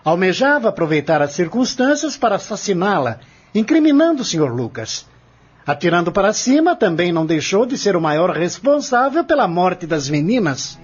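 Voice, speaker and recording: Guy doing a stern courtroom lawyer in a radio play, highish husky voice, formal and accusing. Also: big pitch swings, moderate pace, exaggerated voice. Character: stern accusing male lawyer cross-examining a defendant. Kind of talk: audio drama acting. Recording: dull lo-fi audio.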